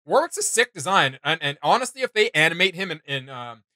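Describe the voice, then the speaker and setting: A man's voice: on the high side, sing-song, a little fast, bright, forceful tone, no echo, clean studio sound. A man in his thirties chatting casually and emphatically as an enthusiastic host of reaction videos. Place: small home room with computer desks, indoors.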